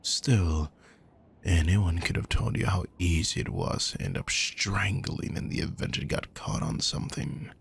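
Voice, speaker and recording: A man playing a possessive, menacing aristocratic villain in a roleplay audio, with a husky, gravelly voice and a condescending, sardonic manner, speaking intimately to the listener. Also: deep voice, wildly swooping pitch, unhurried, slight background noise, heavily acted. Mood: coldly sardonic. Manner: smooth-worded, condescending, darkly sardonic.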